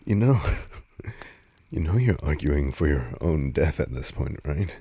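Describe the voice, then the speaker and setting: Man playing a very low, gravelly vampire lover. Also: very animated, dark tone, no echo, heavily acted. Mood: teasing. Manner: breathy, halting murmur, often dropping to whispers. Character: tender, teasing, insecure male vampire lover. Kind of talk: intimate roleplay audio drama.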